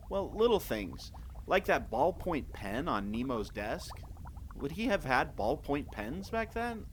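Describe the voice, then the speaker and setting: A man acting as an inquisitive, enthusiastic adventurer in an audio drama, speaking earnestly and excitably. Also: on the high side, measured pace, slight background noise. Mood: curious, earnest. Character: enthusiastic, inquisitive male adventurer and park trivia buff.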